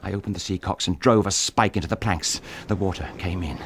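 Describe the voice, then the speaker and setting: An actor playing a tormented English gentleman in a radio drama, speaking with intense, bitter, clipped delivery. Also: low-pitched, fairly quick, slight background noise. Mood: grim, haunted. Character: tormented English gentleman husband confessing to killing his wife.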